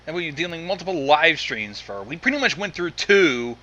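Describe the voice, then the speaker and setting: A man's voice: a little high, big pitch swings, moderate pace, dull audio, over a noisy crowd. A man bantering on a casual livestream as co-host, melodramatic and exasperated in his complaints.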